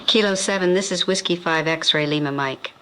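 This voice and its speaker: Man acting a steady survivor, tense bright voice. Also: high voice, slight background noise. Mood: cautionary. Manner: measured, deliberate radio-procedure speech. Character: steady, weary survivor relaying intel by radio. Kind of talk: radio audio drama acting.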